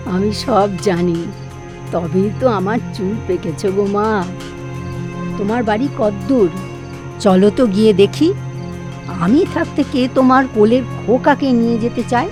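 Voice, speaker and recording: A woman voicing a knowing old village grandmother in an animated horror cartoon, speaking in a coaxing, motherly way. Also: medium pitch, takes it slowly, long pauses, lightly acted. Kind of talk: animated horror story dub. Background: background music.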